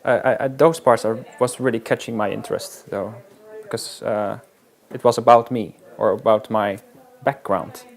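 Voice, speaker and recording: Gravelly man, halting and reflective. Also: fairly low pitch, fairly even intonation. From Netherlands. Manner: halting and reflective, with frequent restarts. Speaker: interviewee, wilderness guide. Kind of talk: casual interview talk.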